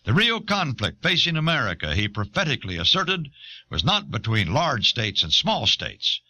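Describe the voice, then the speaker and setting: Bright, forceful man narrating with lively intonation. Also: mid-range voice, normal speed. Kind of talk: scripted documentary narration. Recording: old audio cassette.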